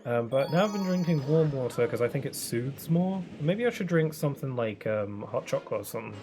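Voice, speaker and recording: A man's voice: mid-range voice, lively intonation, fairly quick. Rambling young man streaming a game. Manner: rambling, soft-spoken, many fillers and restarts. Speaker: streamer playing a video game. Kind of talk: casual chat while gaming. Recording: game music playing behind.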